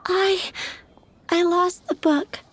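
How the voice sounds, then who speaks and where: A woman's voice: very high-pitched, fairly even intonation, slow pace. A woman playing a sweet, anxious young flower seller in a video game, polite, earnest and a little hesitant. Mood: flustered, upset. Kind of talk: animated game voice acting. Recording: music playing behind the voice.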